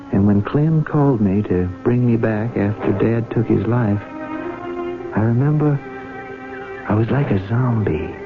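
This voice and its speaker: A man's voice: medium pitch, natural voice. A man acting an earnest, haunted young farm heir in a 1970s radio drama. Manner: earnest, reflective. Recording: thin phone-like old radio broadcast, music.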